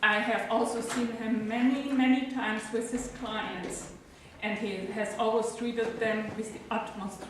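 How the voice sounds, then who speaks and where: German accent woman, legal assistant reading her statement, slightly high voice, picked up far off on a camcorder. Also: slight background noise. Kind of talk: prepared statement at a hearing. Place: large echoey hearing room. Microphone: recorded from far by a camcorder. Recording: echoey room, distant camcorder mic.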